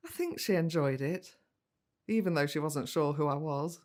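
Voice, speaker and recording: Wistful grown-up daughter, late forties, slightly low voice, gentle, understated, naturalistic radio drama. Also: female voice, animated, moderate pace. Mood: wistful, reflective. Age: late 40s. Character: wistful middle-aged daughter looking back on family life. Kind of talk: naturalistic audio drama narration and dialogue.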